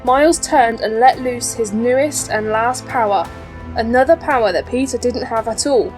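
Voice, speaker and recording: Female storybook narrator, higher-pitched voice, scripted read for young children with light music underneath. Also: normal speed, a little mellow, rough recording. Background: faint background music.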